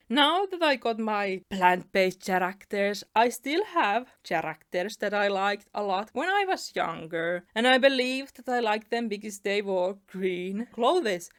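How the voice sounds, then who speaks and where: A young woman from Finland with a Finnish accent, chatting through a storytime narration with little laughs between phrases. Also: medium pitch. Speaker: animation YouTuber. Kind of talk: storytime narration over a speedpaint.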